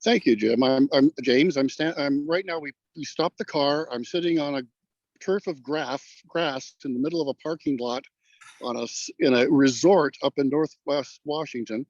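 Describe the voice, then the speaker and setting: An older man, a guest poet explaining in a halting, deliberate way over a remote video call from outdoors. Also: fairly high pitch, dry recording. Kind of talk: explanatory interview talk. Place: outdoors on grass in a parking lot. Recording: dull, lo-fi call audio.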